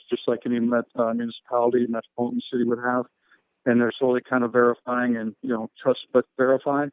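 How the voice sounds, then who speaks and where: A man speaking as a fire and rescue official, answering questions on a conference call in measured, procedural language full of operational jargon. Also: mid-range voice, dry recording, phone-quality sound.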